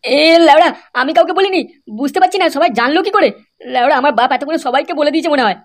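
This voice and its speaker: A woman's voice: high voice, very fast, noisy background, natural voice. A woman voicing brash young village troublemakers in an amateur cartoon comedy, scolding crudely and swearing constantly. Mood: angry, scolding.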